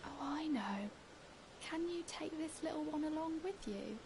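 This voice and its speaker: An actress playing a timid, mournful young woman in a video game, with a halting, wistful, hushed delivery. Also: high-pitched, animated, noisy background. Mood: gentle, fond. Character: timid, mournful young woman, self-confessed craven, darkly whimsical. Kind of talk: video game character dialogue.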